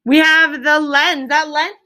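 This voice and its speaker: A woman with a high-pitched, bright voice teaches an elementary class. She is instructive and interactive, putting questions to the group and repeating key answers with clear emphasis. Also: little pitch movement, unhurried.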